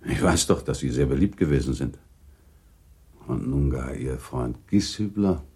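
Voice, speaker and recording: A courteous gentleman serving as a duelling second in a radio drama. The voice is extremely low and husky, with a dark tone and formal, polite phrasing. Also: animated, a little slow, heavily acted. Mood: courteous, sober. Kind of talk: German radio play acting.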